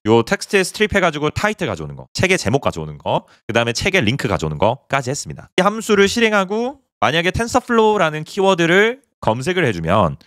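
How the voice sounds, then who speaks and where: A man talks through a coding tutorial at a brisk clip, pointing at items on his screen as he goes. His voice moves up and down in a lively way. The sound is dry and close, from a small quiet room. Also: a little high, no echo. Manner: explains step by step, pointing things out on screen. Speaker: programming instructor.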